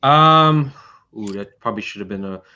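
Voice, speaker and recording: A man's voice: fairly low pitch, lively intonation, moderate pace, sharp tone. Man with dull lo-fi sound, game mastering. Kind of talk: casual tabletop game narration.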